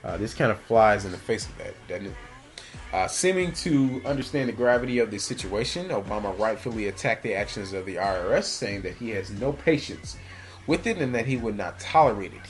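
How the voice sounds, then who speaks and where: A man's voice: fairly low pitch, animated, fairly quick. A man presenting news commentary as a radio host, reading from prepared notes and adding his own opinion. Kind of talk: news commentary, partly scripted.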